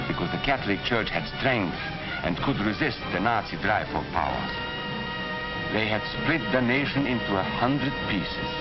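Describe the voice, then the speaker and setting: A man acts out an earnest émigré professor with a low, expressive voice. He lectures deliberately, as in a 1940s educational film, over music on a dull old soundtrack. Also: natural voice. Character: Hungarian-born former professor warning against Nazi hatred. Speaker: narrator recounting his past.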